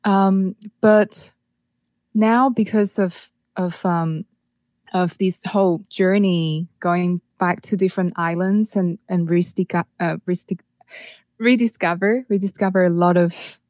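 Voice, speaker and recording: Low-voiced Taiwanese-accented woman, halting delivery. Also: fairly even intonation, darkish tone, no room sound. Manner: halting, repeats words as searching for phrasing. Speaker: music producer, interview guest. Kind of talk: conversational interview, telling personal experience.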